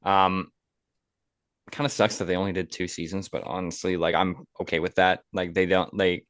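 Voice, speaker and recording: A man with a very low voice hosts a casual film podcast. He is conversational and opinionated, and the recording is dry and close, with little room sound. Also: flat delivery, normal speed, fairly bright tone, dry recording. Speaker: podcast host.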